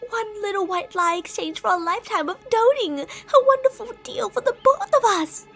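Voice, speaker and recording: A woman's voice: very high-pitched, expressive, moderate pace, creaky, a bit bright, soft background music, heavily acted. A woman voicing a possessive, delusional lamia monster in an ASMR roleplay, speaking in a haughty, theatrical, sweetly gaslighting way. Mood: coaxing, threatening.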